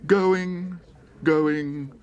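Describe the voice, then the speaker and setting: A man voicing a brisk male auctioneer who calls out the bids loudly. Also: a little high, fairly even intonation, muffled recording, noisy background, voice pushed up. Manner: loud, brisk calling.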